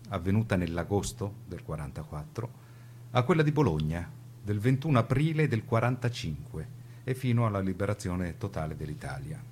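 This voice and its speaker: Male narrator, lowish pitch, scripted dramatic documentary style, deliberate pauses, faint background hum.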